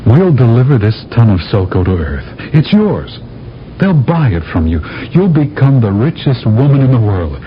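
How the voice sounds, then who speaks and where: A bold, persuasive space envoy played by a man with big swings of pitch and an emphatic, stagey push. It sounds like old radio drama, slightly muffled, with a layer of noise behind it. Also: big pitch swings, moderate pace, natural voice. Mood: bold, commanding. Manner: insistent, theatrical, emphatic. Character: bold, persuasive male astronaut envoy from Earth. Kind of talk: 1970s radio drama acting. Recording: dull, noisy old radio broadcast recording.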